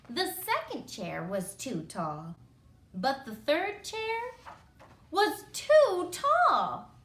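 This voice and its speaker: A woman's voice: fairly high pitch, fairly bright tone. A woman, a schoolteacher, reads a children's story aloud expressively, with emphatic phrasing and wry asides. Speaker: first grade teacher. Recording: roomy echo.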